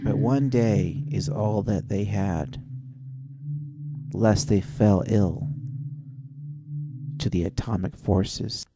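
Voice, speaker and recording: Low, halting male storyteller over music. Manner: halting, musing, broken phrases with dramatic pauses. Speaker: storyteller, narrator. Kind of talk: loose, dreamlike spoken-word storytelling in an old-time radio adventure manner. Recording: voice over easy-listening music bed. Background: instrumental easy-listening music with guitar.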